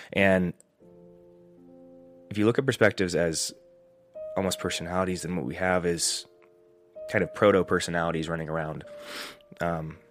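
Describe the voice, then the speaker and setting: Man, low voice, slightly sick, reflective podcast host, restarts and fillers. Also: a bit bright. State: getting over a cold. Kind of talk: solo podcast monologue.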